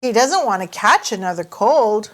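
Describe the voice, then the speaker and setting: A woman's voice: medium pitch, very animated, normal speed. A woman hosting a children's read-aloud, narrating expressively in a sing-song, careful way for small listeners. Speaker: storyteller and host.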